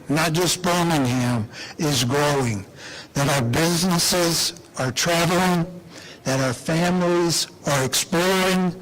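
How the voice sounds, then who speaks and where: A man speaking as an official at a public announcement, deliberate and emphatic, repeating phrases with rhetorical pauses. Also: on the high side, low-quality recording. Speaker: airport official giving an announcement speech. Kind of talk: public speech at a press announcement.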